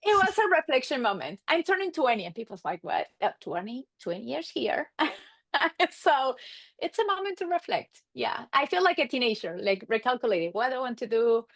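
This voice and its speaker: Middle-aged woman, Argentine Spanish accent, highish voice, very lively intonation, casual storytelling, interview guest, acts out quotes. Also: normal speed, gravelly, dry recording. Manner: animated, acts out quoted exchanges. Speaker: guest, marriage and family therapist.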